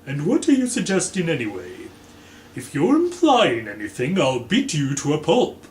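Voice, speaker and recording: Man doing a snarling, irritable villainous gentleman. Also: on the high side, huge pitch swings, moderate pace, pitched above the performer's own voice. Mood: snarling threat. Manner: snarling, curt. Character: cold, irritable, threatening man. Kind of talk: storybook character reading. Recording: echoey room, faint background noise.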